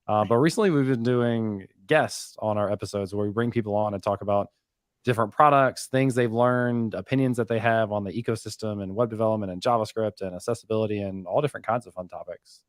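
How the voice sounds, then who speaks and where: Low-voiced man giving a casual tech walkthrough. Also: fast, no room sound, studio quality. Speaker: podcast co-host, guest giving a demo.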